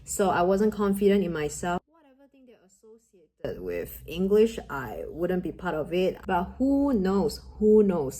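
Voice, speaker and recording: A young Malaysian woman whose first language is Mandarin talks earnestly to her viewers, encouraging them to believe in themselves. Her voice sits a little low and sounds mellow and warm. Her English carries a Malaysian accent. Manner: earnest, encouraging, with grammar slips of a second-language speaker. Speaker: vlogger. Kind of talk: motivational talk to camera.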